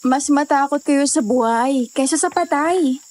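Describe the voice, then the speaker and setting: A woman's voice: sits high, unhurried, darkish tone, slight background noise. A woman with a Filipino accent acting a fearless, frank young hiker in a conversational audio drama. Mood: frank, easygoing.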